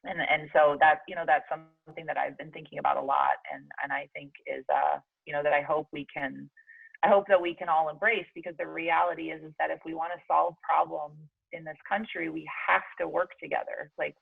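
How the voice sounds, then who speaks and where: A woman's voice: sits low, fairly even intonation, brisk, creaky, slightly rough, dry recording. A woman from Jordan, a guest in an interview, giving reflective answers hesitantly, with many fillers and restarts. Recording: tinny phone line, dry.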